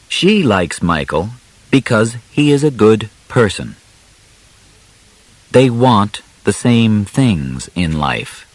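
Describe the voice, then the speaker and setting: A man reading scripted audiobook narration in simple storytelling style, with deliberate, clearly separated short phrases. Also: on the low side, no echo.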